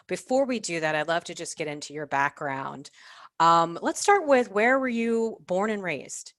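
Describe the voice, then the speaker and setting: A woman in her forties hosts an interview podcast in a chatty, effusive style. Her voice sits on the low side and she leans emphatically on her words. The sound is dry and close, with little room to it. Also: expressive, moderate pace, a bit bright, no echo. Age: 40s. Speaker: podcast host.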